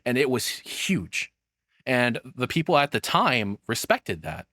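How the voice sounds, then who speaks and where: A man's voice: mid-range voice, very animated, unhurried, bright, forceful tone, dry recording, clean studio sound. A man doing casual live reaction commentary as a streamer, rambling and swearing in an informal way.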